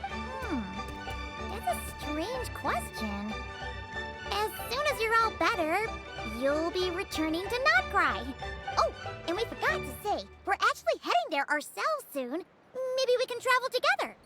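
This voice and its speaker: A woman voicing a tiny, bubbly female companion in a game, with a high, squeaky, childlike voice and a chirpy, fussing way of talking. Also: huge pitch swings, unhurried, heavily acted. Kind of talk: game voice acting. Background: loud game music.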